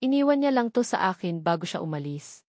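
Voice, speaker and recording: A kindly Filipino aunt, voiced by a woman storyteller speaks gently in an audio drama. She is plain-spoken, and the recording is dry and close. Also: lowish voice, very animated, a little fast, no echo. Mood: gentle, reassuring. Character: kindly woman relative, family go-between.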